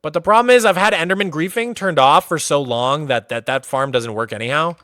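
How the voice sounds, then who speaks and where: Streamer dude from massachusetts, kinda high voice, chill rambly livestream talk, dry close mic. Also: male voice, brisk, no room sound. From Massachusetts, USA. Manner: relaxed and chatty, many "like" fillers. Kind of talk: casual livestream commentary, touring a game world.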